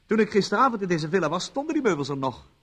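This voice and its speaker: An actor in a Dutch radio play as a cocky bon vivant, insistent and mocking. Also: male voice, high voice, very animated, racing, a bit bright, breathy edge, heavily acted. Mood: mocking, confronting. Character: cocky bon vivant man, a suspect protesting his truth.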